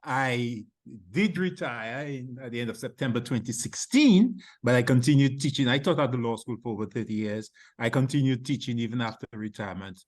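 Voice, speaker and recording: Measured older man in his seventies. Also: mid-range voice, expressive, no echo. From Dominica. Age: 70s. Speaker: panel guest. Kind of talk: casual chat.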